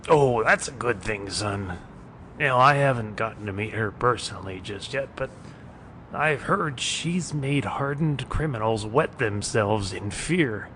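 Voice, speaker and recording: Man as a slow, sardonic cartoon street bum. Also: animated, bright, forceful tone. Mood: gleeful taunting. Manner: mock-polite, drawn-out, sardonic. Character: wry, taunting street bum, vigilante's sidekick. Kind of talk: animated series dialogue. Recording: clear low rumbling background noise.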